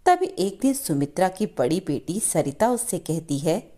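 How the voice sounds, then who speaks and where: Animated female moral-story narrator. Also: on the low side, measured pace.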